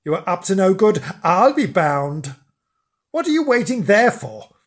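A storyteller voicing a cross grown man who quickly flies into a rage and shouts threats. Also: mid-range voice, very animated. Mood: furious.

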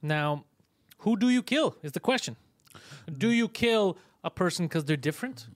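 A man whose first language is Greek, hosting a podcast in a casual, crude chat, loud, sarcastic and mocking, swearing often. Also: sits high, lively intonation, moderate pace, no room sound, clean studio sound. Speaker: podcast host.

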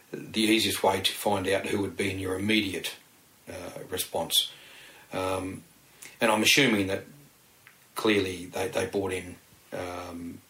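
An Australian man with a fairly low voice speaks as a former detective being interviewed. He explains things off the cuff, in a halting, roundabout way with plenty of hedging. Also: fairly bright tone, poor audio. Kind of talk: unscripted expert interview.

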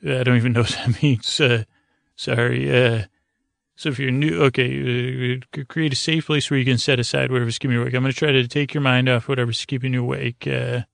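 A man hosting a bedtime podcast in a creaky, gentle tone, meandering and stammering as he trails off mid-thought. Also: medium pitch, fairly even intonation, fairly quick, dry recording, studio quality. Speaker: podcast host. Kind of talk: rambling, soothing bedtime sleep-podcast monologue.

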